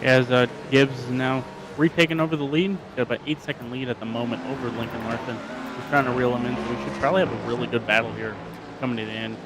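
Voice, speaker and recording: Male color commentator, mid pitch, casual and loose, loud noise behind. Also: fairly even intonation, fast talker. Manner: casual, filler-heavy analysis. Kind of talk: sim racing color commentary.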